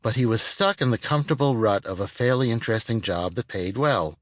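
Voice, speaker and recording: A man narrates a nonfiction audiobook in a scripted, read-aloud style. His voice sits at an average pitch for a man. The studio sound is dry, with hardly any room around it. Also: a little fast, no room sound. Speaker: author reading own audiobook.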